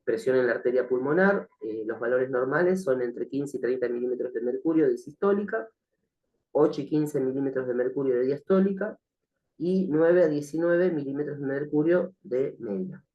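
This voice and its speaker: A man teaching a recorded nursing class, slightly hoarse from the flu, speaking hesitantly with many fillers and frequent check-ins with the listener. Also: mid-range voice, moderate pace, muffled recording. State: has a cough from the flu. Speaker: nursing instructor. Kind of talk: recorded online lecture.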